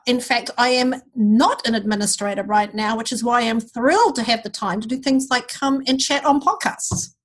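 A woman in her fifties from New Zealand with a softened mid-Pacific New Zealand accent, a professor telling her story as a guest over an online call in a chatty, upbeat way. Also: fairly high pitch, moderate pace, few pauses. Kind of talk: casual interview over a call. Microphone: remote online call.

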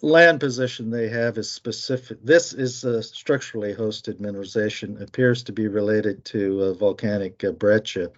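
American male market commentator; lowish voice, halting delivery, muffled recording. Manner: halting, frequent "uh" fillers.

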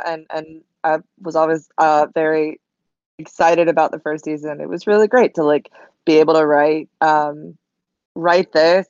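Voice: mid-range voice, dry recording, highs cut off. A writer chatting casually on a panel, effusive but hesitant. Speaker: audio drama writer.

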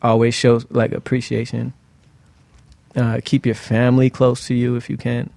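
A man's voice: mid-range voice, completely flat delivery, normal speed, creaky, dark tone, no room sound. A man speaking as a guest singer in a conversational interview, giving advice emphatically with rhetorical questions and repeated phrases.

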